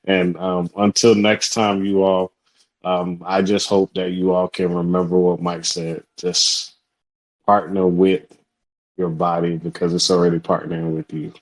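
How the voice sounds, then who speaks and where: A man with a deep, low voice co-hosts a casual support group conversation. He speaks in an affirming, easygoing way, often addressing the group directly. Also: flat delivery, unhurried, rough recording. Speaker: co-host.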